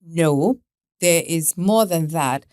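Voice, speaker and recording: Female co-host, low voice, emphatic talker, dry sound. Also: slow pace, a little mellow, no echo. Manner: emphatic, repeats words, seeks agreement. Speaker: podcast co-host. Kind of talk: casual interview chat.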